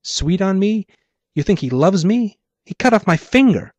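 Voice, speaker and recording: A man's voice: high voice, sing-song, normal speed, a little mellow, no room sound, voice pushed up. Man reading as an enigmatic, bitter woman of living flesh. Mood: indignant, scornful. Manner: intoning, disdainful. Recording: dull muffled lo-fi audio.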